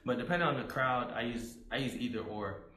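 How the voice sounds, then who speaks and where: A young man in a casual interview, talking about his poetry in a relaxed, rambling way with many fillers, his voice slightly hoarse. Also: mid-range voice, fairly quick, echoey room, rough recording. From United States. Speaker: spoken word poet guest.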